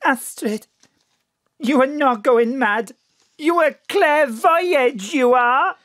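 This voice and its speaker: Doting Welsh mother, middle-aged, high lilting pitch, unhurried, emphatic, for audio drama. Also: a bit bright, dry recording, clean studio sound. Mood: astonished. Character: Astrid's doting, plain-spoken Welsh mother.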